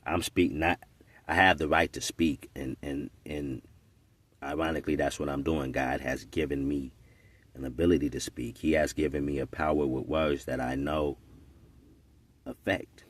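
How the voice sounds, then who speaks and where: Very deep-voiced preachy man in his forties. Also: steady tone, normal speed. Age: 40s. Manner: earnest and preachy, often tags "right?". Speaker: podcast commentator. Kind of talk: unscripted spoken monologue.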